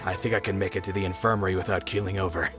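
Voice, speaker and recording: A worn-out, stoic male prisoner played by a man speaks in a low voice. It is anime-style game acting, with music clearly behind him. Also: steady tone, rapid-fire, close to an everyday voice. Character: weary freed male prisoner, stoic and good-humored.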